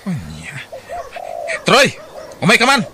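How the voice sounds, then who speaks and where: A man's voice: high-pitched, huge pitch swings, slow, noisy background, full character voice. A man with an Ilocano accent playing a harsh, hot-tempered husband and father in a radio drama, scolding and emphatic. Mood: angry, scolding.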